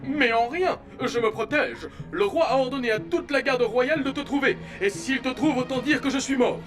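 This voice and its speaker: A man voicing a hesitant, soft-hearted royal guard in a melodramatic, faltering way for a French animated film dub. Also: extremely high pitch, moderate pace, music in the background. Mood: solemn, faltering.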